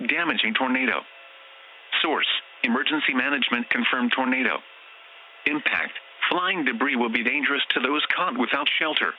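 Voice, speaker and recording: A man's synthetic voice reading a scripted emergency weather warning in a formal, measured way, as an automated alert announcer. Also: mid-range voice. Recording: muffled lo-fi broadcast, clear background noise.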